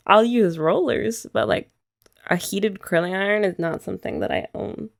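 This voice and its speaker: A woman's voice: mid-range voice, moderate pace, vocal fry. A young woman doing a chatty ASMR girlfriend roleplay, explaining each step and teasing her listener. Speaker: ASMR creator.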